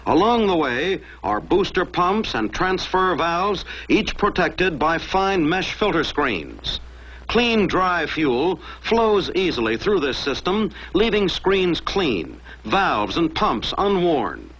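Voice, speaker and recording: American man, vintage military film narrator, fairly high voice, animated intonation, emphatic, muffled lo-fi soundtrack. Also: slow pace, husky. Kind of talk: scripted mid-century military training film narration. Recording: dull lo-fi old film soundtrack.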